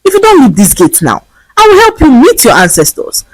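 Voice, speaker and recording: A woman voicing a male gate guard who threatens and shouts. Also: high voice, huge pitch swings, brisk, no echo, voice pushed up, full character voice, low-quality recording. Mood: threatening.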